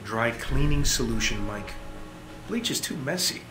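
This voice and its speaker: An actor playing an unhinged, chatty rogue CIA operative in a video game, rambling with flippant, jokey menace. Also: male voice, mid-range voice, expressive, a little slow, echoey room, music in the background, natural voice. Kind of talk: video game cutscene acting.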